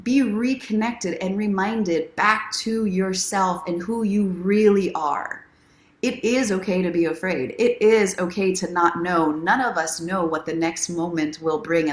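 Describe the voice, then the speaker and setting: Low-pitched woman in an echoey room. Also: measured pace. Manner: encouraging, speaks straight to viewers. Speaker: livestream host and self-help coach. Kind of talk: casual livestream chat with encouragement. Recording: roomy, echoing sound.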